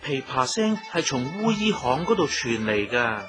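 An actor playing a young palace eunuch attendant in a Cantonese radio drama, officious, polite and formal, with a husky voice. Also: male voice, medium pitch, big pitch swings, unhurried. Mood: formal, dutiful. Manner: formal, announcing. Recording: music behind the voice.

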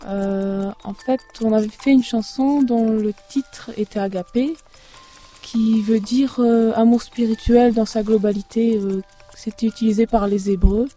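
Woman from Belgium, halting and full of fillers, very dark mellow tone, faint music behind; interview after a concert. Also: fairly high pitch, fairly even intonation. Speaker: singer and lyricist of a duo. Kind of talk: hesitant interview answers.

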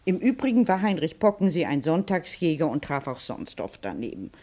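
A strong-willed woman in a radio drama. She is brisk and commanding, scornful of sentiment. Her delivery is that of someone used to deciding for others. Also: low-pitched, big pitch swings, warm tone. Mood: stern, scornful. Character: resolute, domineering woman defending her home, scornful of sentiment. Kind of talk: German radio play acting.

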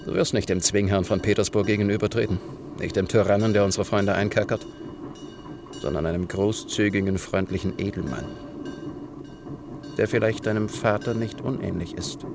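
Deep, gravelly, cautioning conspirator. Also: male voice, fairly even intonation. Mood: earnest, cautioning. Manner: measured, admonishing. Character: earnest, cautioning male conspirator, measured and persuasive. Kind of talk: German radio drama acting. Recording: noticeable background noise.

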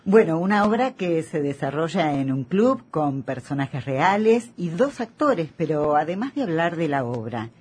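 An actress with an Argentine accent playing a polished, courteous host of a theatre radio programme, with a raspy voice. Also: female voice, deep voice, sing-song, moderate pace, few pauses, darkish tone, heavily acted. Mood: formal, presenting. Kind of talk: radio show hosting.

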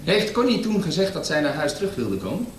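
A man's voice: on the high side, sing-song, quick pace. An indignant injured businessman. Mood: suspicious. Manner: clipped, indignant, bossy. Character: injured 37-year-old businessman stuck on floor, stiff, irritable. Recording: roomy with faint noise.

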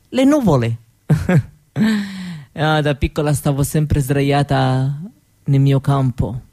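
An actress playing a dreamy young Roma woman recalling her childhood in an intimate, halting radio monologue. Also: deep voice. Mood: fond, nostalgic. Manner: halting, wistful, with soft repetitions.

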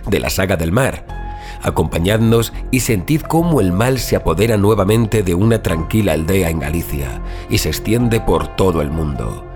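A man with a Spanish accent from Spain giving an ominous, theatrical scripted introduction as host of horror audio fiction. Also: sits low. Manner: ominous, theatrical, deliberate emphasis. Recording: voice over loud background music.

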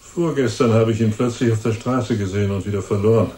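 An actor playing a cold-blooded, sneering killer who taunts with drawn-out menace in a German radio crime drama. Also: male voice, lowish voice, dark tone, roomy sound, natural voice. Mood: cool, mocking.

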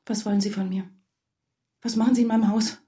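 An actress playing a distraught, insistent wife who also narrates in a German radio drama. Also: female voice, mid-range voice, steady tone, fast talker, dark tone, lightly acted. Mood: frightened, defiant. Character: distraught, insistent wife facing an impostor husband. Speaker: lead actress and first-person narrator.

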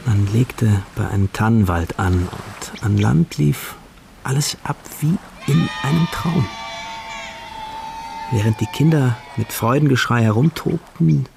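Wistful young student, gravelly, over music. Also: male voice, medium pitch, unhurried. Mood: wistful, dreamy. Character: lovestruck young male student recalling a dreamlike encounter. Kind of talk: first-person literary narration in a radio play. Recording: radio drama production with music behind.

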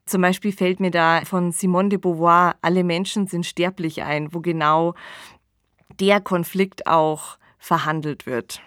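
Lowish-voiced woman, dry studio sound. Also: fairly even intonation, unhurried, a bit bright, smooth, no echo. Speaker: podcast host. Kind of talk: conversational podcast discussion.

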